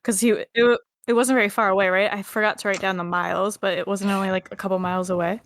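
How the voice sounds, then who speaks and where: A woman's voice: medium pitch, flat delivery, quick pace. A woman co-hosting a podcast, chatting casually and reading through case notes aloud. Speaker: podcast co-host.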